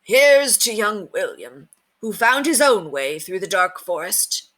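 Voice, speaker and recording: Woman reading as a kindly noble knight, bright-voiced. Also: mid-range voice, big pitch swings, unhurried. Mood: rousing, proud. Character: noble, kindly old knight, hearty and commanding. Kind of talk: storybook character reading.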